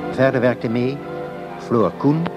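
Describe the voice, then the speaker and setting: Slow male radio announcer over music. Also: mid-range voice. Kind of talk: formal scripted credits announcement.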